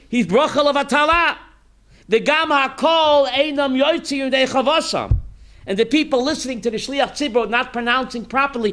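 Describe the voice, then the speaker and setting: High, bright-voiced rabbi quizzing his class. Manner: reads Hebrew text then translates, quizzing listeners; animated, emphatic rhetorical questions. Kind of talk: Torah class sermon.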